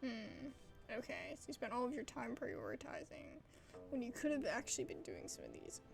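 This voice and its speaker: A woman's voice: fairly high pitch, wildly swooping pitch, moderate pace, vocal fry. A young woman giving casual, slangy, skeptical reactions while playing a video game. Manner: informal, slangy, skeptical asides. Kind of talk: casual gameplay reaction commentary. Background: game music.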